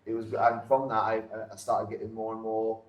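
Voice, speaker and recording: A man's voice: fairly low pitch, flat delivery, brisk, dull audio. A man from Salford with a northern English accent chats casually as an interview guest, often repeating himself and leaning on filler phrases. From Salford, north-west England. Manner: repetitive, punctuated with "you know". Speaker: interview guest, independent wrestler. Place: indoors in a pub. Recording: roomy, echoing pub interior.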